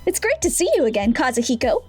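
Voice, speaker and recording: A woman voicing a poised, very high schoolgirl president. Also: sing-song, fast, full character voice. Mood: warm. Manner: confident, reassuring, smooth. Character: poised, friendly teenage club president girl. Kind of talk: fan dub of a visual novel. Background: faint music.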